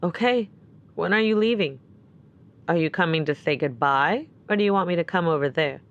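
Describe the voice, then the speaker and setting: A woman playing a worried, caring and suspicious character in an audio drama, speaking naturally and earnestly with gentle insistence. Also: on the low side, normal speed, dull audio, natural voice. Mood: pleading.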